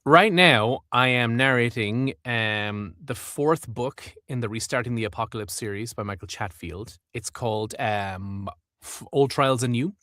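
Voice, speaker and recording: A man's voice: mid-range voice, measured pace, bright, forceful tone, no echo, clean studio sound. A man from Wexford with an Irish accent, an audiobook narrator talking casually in an interview and sometimes trying out accents. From Ireland. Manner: chatty, laughs easily, tries out accents.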